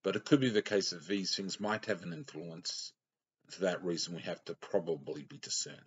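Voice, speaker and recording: A man's voice: low voice, a little fast, breathy edge. A middle-aged man giving a rambling, plainspoken sermon to a webcam in a small room, often correcting himself as he talks. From Australia. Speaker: lay preacher. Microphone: webcam close up. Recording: dull lo-fi webcam audio.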